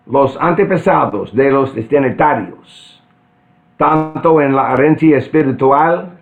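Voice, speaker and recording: An older man from central Kansas with an American accent, preaching an earnest sermon and pausing to restart phrases. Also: on the high side. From central Kansas, United States. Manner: deliberate, earnest, with frequent restarts. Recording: tinny phone-like sound.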